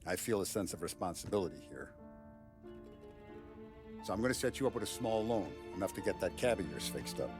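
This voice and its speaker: An actor playing a shrewd, paternal mafia boss with an American accent and a deliberate, authoritative manner in a video game. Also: male voice, sits low, animated, music in the background. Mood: measured, probing. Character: commanding, paternal male mafia boss, shrewd and self-assured. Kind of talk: video game cutscene acting.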